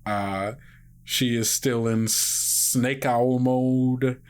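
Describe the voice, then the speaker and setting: A game master narrating a tabletop game casually, with a breathy voice. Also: mid-range voice, fairly even intonation, drawn-out words.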